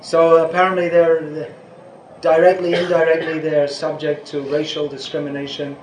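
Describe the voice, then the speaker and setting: Older man, spiritual teacher, casual Q&A, rambling, slightly high voice, echoey room, faint hiss. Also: level tone, moderate pace. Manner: rambling, self-interrupting, chuckles at times. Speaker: spiritual teacher answering questions. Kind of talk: informal question-and-answer talk.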